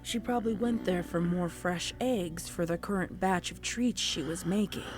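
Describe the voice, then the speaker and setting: A woman reads dark fiction as a story narrator. Her voice is on the low side, with dramatic, scripted delivery and soft music in the background. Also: moderate pace.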